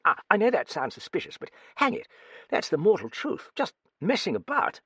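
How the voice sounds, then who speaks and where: A man's voice: high-pitched, wildly swooping pitch, a bit bright, slightly rough, no room sound, voice pushed up, heavily acted. A man doing a flustered, stammering young man. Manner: stammering, rushed.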